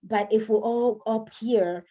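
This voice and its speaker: A woman's voice: on the high side, steady tone, slow, highs cut off. A woman whose first language is French, coaching writers in a chatty, self-correcting way, laughing between points. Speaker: writing coach. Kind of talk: casual teaching talk to camera.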